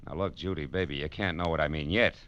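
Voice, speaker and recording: A man's voice: very deep voice, fast talker, a bit bright. An American man playing a wry, tough private detective who narrates his case in 1940s radio drama style. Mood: wry, tense. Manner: wry, sardonic, slangy. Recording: dull old radio broadcast recording.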